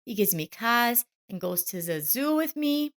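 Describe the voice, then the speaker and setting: A woman's voice: a little high, very animated, a little slow, pitched above the performer's own voice. An innocent little boy, played by a woman narrator, speaks in a raised, swooping voice. He is solemn, sweet and affectionate, chattering in simple childlike sentences as in an audio storybook. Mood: innocent, chatty.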